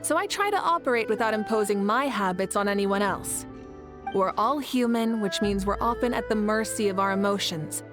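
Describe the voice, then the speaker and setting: A woman voicing a confident, composed leader in a video game, speaking in a measured, articulate and thoughtful way over music. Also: mid-range voice, animated, natural voice. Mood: calm, reflective. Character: confident, composed warrior leader, a self-described workaholic idealist. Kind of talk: video game character dialogue.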